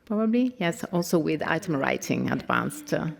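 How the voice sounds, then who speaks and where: An Italian-accented woman, a native Italian speaker from Italy, talking haltingly on an informal panel and searching for words, in a reverberant hall. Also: low voice, sing-song, vocal fry. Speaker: panel participant from a research institute.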